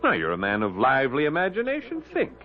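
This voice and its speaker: An actor playing a shrewd, middle-aged newspaperman sleuth in a radio drama, probing and confident with deliberate delivery. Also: medium pitch, wildly swooping pitch. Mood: accusing. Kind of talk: 1970s radio drama acting. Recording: dull vintage radio broadcast.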